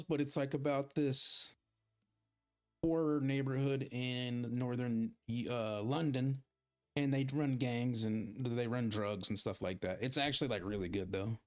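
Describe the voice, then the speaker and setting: A man's voice: medium pitch, smooth. A man streaming a game, chatting casually with his viewers, rambling and poking fun at himself as he plays. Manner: rambling, self-deprecating, chatting with viewers while playing. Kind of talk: casual gaming livestream chat.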